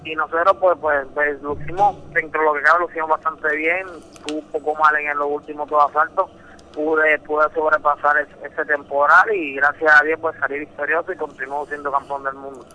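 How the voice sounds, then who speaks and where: A man's voice: fairly high pitch, flat delivery, normal speed, bright, forceful tone, poor audio. A young Puerto Rican man speaking Spanish with a Puerto Rican accent, a boxing champion answering a radio interview in an earnest, emphatic way, often repeating words. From Puerto Rico.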